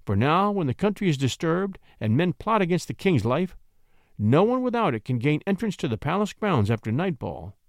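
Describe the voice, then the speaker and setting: A man putting on a coaxing, scheming countess, higher-voiced. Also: very animated, moderate pace, no echo, clean studio sound. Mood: pleading, urgent. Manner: breathy pleading, cajoling. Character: scheming countess, sly, coaxing, feigning innocent distress.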